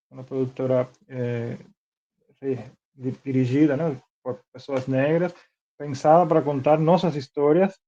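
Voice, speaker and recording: A man with a fairly high but mellow voice and a Cuban accent, a native Spanish speaker. He talks informally as an interview guest, in a slightly dull lo-fi recording. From Cuba. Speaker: interview guest, film producer and director.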